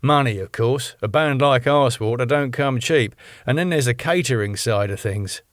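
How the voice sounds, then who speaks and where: A man's voice: medium pitch, moderate pace, no room sound, clean studio sound, natural voice. A man with a British accent voicing an eager, persuasive young male band manager.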